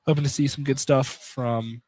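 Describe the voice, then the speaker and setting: Man giving pregame basketball commentary, medium pitch. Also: fairly even intonation, normal speed, a little mellow, no room sound.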